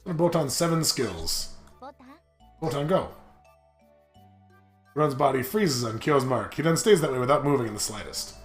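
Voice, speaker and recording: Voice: mid-range voice, animated, measured pace, pauses often. Someone reading a visual novel aloud in a casual, playful way, adding asides and rereading slips. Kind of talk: casual playthrough, reading narration and character lines aloud with asides. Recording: roomy echo, music behind.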